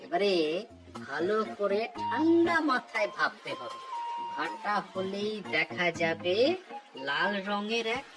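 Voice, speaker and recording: A man's voice: sits high, very animated, takes it slowly, music in the background, exaggerated voice. A man voicing a clever, witty court jester in a Bengali cartoon, wry and reasoning. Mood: reciting riddle.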